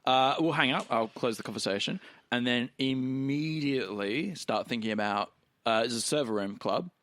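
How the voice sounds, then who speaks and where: A man's voice: mid-range voice, normal speed, a bit bright. A man chatting casually as a player in a tabletop roleplaying game.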